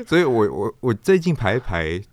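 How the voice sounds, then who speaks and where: A man's voice: medium pitch, huge pitch swings, a little slow, no room sound, studio quality. A middle-aged man with a Taiwanese accent talking reflectively as an interview guest, searching for his words and repeating phrases. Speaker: guest, stage actor and storyteller.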